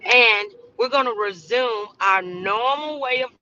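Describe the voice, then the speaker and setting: A woman hosting a motivational faith podcast, speaking off the cuff in an earnest, halting, preacherly way. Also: fairly high pitch, sharp tone, dull audio. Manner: preacherly, earnest, halting delivery with repeated phrases. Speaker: host of a motivational talk podcast. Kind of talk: inspirational faith talk, loosely improvised.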